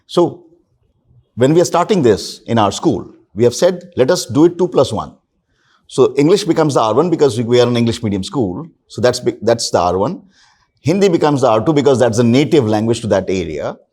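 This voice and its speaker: Animated man making emphatic points. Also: medium pitch, a little fast, breathy edge. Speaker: school leader on a panel. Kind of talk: panel discussion.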